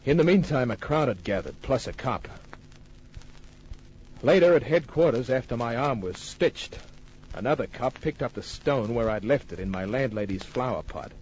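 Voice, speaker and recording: An actor playing a tough private detective, narrating his case in clipped, wry, deadpan old-time radio style. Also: mid-range voice, moderate pace, breathy edge, lo-fi sound. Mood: matter-of-fact. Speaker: lead narrator.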